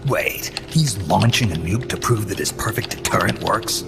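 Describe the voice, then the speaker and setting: An actor playing a grim, terse soldier in a game cutscene, with a gruff, breathy half-whisper and a brooding manner. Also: male voice, fairly low pitch, animated, normal speed. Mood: skeptical. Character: grim, terse male soldier questioning gravely. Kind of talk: dramatic game cutscene dialogue. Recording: prominent music under the voice. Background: prominent background music.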